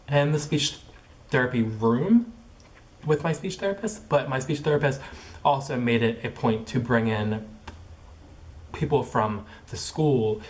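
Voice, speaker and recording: A young man speaks to camera in a casual vlog, his voice sitting at an ordinary middle pitch. He stutters, repeating first sounds and locking up on words. His phrasing is halting and crowded with likes and ums. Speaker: vlogger. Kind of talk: casual personal vlog monologue.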